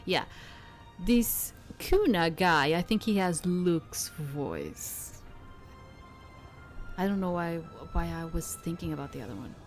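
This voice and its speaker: A woman streaming a game, reading visual novel narration aloud in a measured, dramatic way with casual asides. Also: fairly low pitch, big pitch swings. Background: soft game music.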